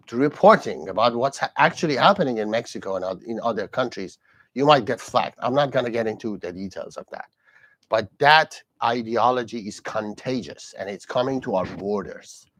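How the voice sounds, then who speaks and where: A man's voice: fairly low pitch, dry recording. A man born and raised in Iran, hosting a solo live commentary in English, earnest and repeating himself, with many fillers and restarts. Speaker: host.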